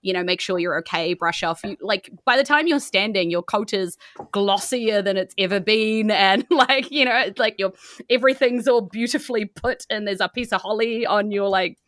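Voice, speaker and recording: A woman's voice: mid-range voice, moderate pace, fairly bright tone, dry recording, clean studio sound. A woman with a New Zealand accent, improvising scene descriptions as game master in a loose, conversational way. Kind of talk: improvised tabletop game narration.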